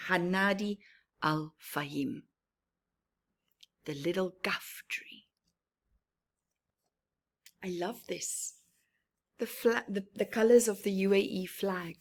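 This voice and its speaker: Slow, animated woman reading to children. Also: medium pitch. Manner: slow, deliberate phrasing with hushed, admiring asides. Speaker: storyteller reading a picture book to children. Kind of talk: expressive children's read-aloud with short asides.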